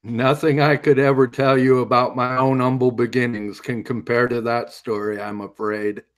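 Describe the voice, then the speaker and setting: A man's voice: medium pitch, flat delivery, normal speed, close to an everyday voice. A man playing a wry, secretive superhero. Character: secretive retired male costumed superhero, dry-witted. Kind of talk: audio drama acting.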